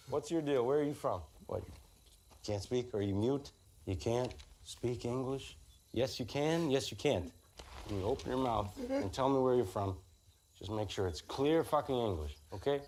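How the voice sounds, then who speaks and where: An actor playing a menacing soldier who interrogates captives in a cold, taunting manner, throwing their answers back at them. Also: male voice, medium pitch, lively intonation, unhurried, darkish tone.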